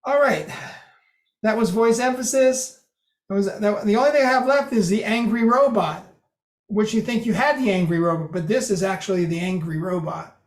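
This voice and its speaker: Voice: mid-range voice, moderate pace. A presenter at a club meeting explaining a code demo in a loose, casual way, often restarting sentences. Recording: roomy echo.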